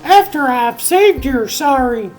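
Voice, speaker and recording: A woman's voice: sits high, expressive, slow pace, darkish tone, faint music behind. A woman playing a plucky, confident young superhero in a comic dub, with a breezy, casual delivery. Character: brash, plucky young female superhero speedster. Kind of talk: dramatic comic dub acting.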